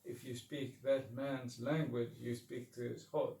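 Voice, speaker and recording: A man from South Africa with a South African accent, an English teacher speaking slowly and thoughtfully to camera, often pausing and restarting. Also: medium pitch, flat delivery, creaky, mellow, distant mic, a little hiss or hum. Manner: slow and reflective, with restarts and pauses. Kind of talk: casual talk to camera. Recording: roomy sound, speaker away from the mic.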